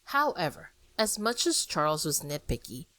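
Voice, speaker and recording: A woman podcast host reads a scripted story about art history. Her voice sits fairly low and swings widely in pitch as she explains. The sound is dry and close, with no room echo. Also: sing-song, moderate pace, fairly bright tone.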